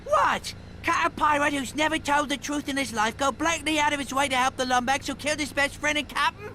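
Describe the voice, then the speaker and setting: An actor voicing a boastful, double-crossing in an animated game, with a theatrical, wheedling manner. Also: male voice, very high voice, a little fast, a little hiss or hum. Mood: boastful, excited. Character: roguish, boastful, double-crossing male pirate robot sidekick. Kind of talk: animated video game cutscene acting.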